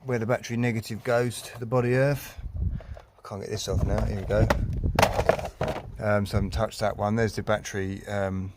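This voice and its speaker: A man's voice: on the low side, flat delivery, normal speed, very noisy. A British man giving a casual hands-on walkthrough, informal and colloquial, thinking aloud as he goes. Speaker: hobbyist vlogger. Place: in and around a parked car.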